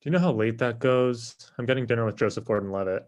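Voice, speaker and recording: An actor at a comedy table read playing a lazy, self-absorbed office coworker in a casual, dismissive way. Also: male voice, medium pitch, fast talker, darkish tone, close to an everyday voice.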